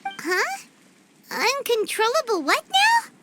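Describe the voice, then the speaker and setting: A woman voicing a tiny, excitable, childlike fairy companion in a video game, with a dramatic manner. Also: very high-pitched, slow pace, noisy background, full character voice. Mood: curious, worried. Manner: dramatic, refers to herself in third person.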